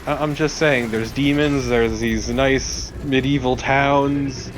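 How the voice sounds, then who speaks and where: Male co-commentator, mid-range voice, relaxed casual chat over gameplay, faint game noise behind. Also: medium pitch, slow, low-quality recording. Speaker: let's play co-commentator.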